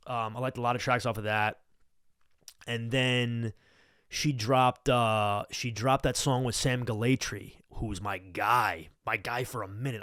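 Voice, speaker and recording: A man's voice: mid-range voice, fairly bright tone, no echo, studio quality. A young man hosting a casual podcast, rambling about music in a slangy, profane, hyped-up fan's way. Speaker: podcast host. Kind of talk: casual unscripted music chat. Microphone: close podcast microphone.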